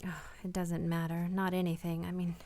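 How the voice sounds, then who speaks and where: A woman's voice: fairly low pitch, monotone, moderate pace, darkish tone, a little hiss or hum, lightly acted. A woman playing a weary, heartbroken married woman parting from her lover, hesitant and anguished, in a radio drama. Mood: resigned, sad. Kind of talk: radio play acting with confessional narration.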